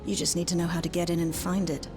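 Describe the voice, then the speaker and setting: An actress voicing a curious, matter-of-fact intelligence specialist in a video game, informative and conversational. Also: female voice, fairly low pitch, little pitch movement, fast, over music, close to an everyday voice. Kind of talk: video game cutscene acting.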